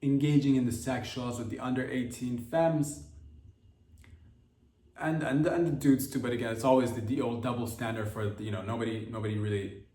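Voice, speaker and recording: A man's voice: medium pitch, steady tone, normal speed, dark tone. A man hosting a comedy podcast, rambling through a loose monologue full of restarts and asides. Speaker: podcast host. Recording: roomy, distant from mic.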